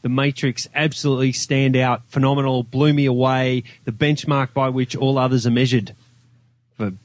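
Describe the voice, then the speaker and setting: A man's voice: medium pitch, level tone, normal speed, no echo. An Australian man talking casually about films as a podcast guest, with an emphatic, opinionated, slangy manner. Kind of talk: casual film discussion.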